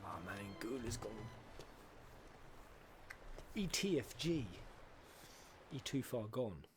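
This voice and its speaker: A man giving a casual, excitable reaction commentary on game cutscenes, slangy and sweary, as a streamer. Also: medium pitch, slow, music in the background.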